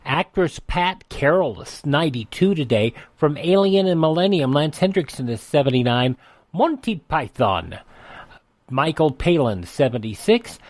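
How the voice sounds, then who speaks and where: American man, radio host, slightly high voice, scripted almanac narration with asides, dry studio sound. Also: big pitch swings, measured pace, no room sound. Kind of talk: scripted this-day-in-history narration with ad-libs.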